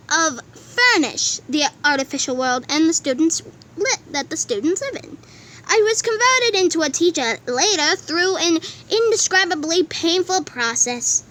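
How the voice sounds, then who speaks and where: A woman's voice: very high-pitched, lively intonation, unhurried, sharp tone, heavily acted. A woman voicing an artificial talking butterfly teacher in a cartoon comic dub, explaining morbid things in a matter-of-fact way.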